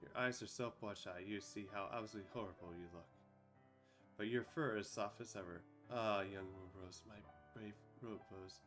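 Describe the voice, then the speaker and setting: A cold high queen talks down to her subjects with calm, mocking cruelty, as a man voices her. Her voice stays quiet and low but keeps a bright edge. It sounds like a fan-dubbed fantasy cartoon. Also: a little fast, soft background music, natural voice. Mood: soft tender.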